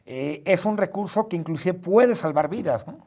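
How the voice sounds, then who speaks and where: Man, Mexican accent, fairly high pitch, soft dark timbre, halting spontaneous commentary, co-host. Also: expressive. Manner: halting, frequently ends phrases seeking agreement. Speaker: talk show co-host and free-speech advocate. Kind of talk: studio discussion, spontaneous commentary. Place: internet TV studio.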